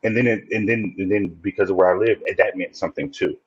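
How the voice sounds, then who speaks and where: Man, very low voice, husky, dry dull sound, reflective, restarts sentences. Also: fairly even intonation, fast, no room sound. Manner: reflective, speaks in restarts and repeats. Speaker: podcast guest. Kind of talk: casual interview conversation.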